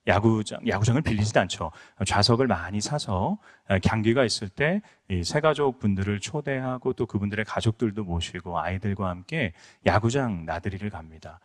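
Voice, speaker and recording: A man's voice: fairly low pitch, moderate pace, few pauses. A middle-aged male pastor from Incheon giving a conference lecture, telling stories earnestly with many fillers. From Incheon, South Korea. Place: conference hall.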